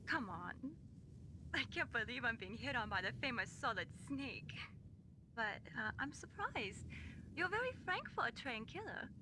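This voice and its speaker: An actress playing a friendly young military technology specialist in a video game. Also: female voice, fairly high pitch, big pitch swings, measured pace, noisy background. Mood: friendly, flattered.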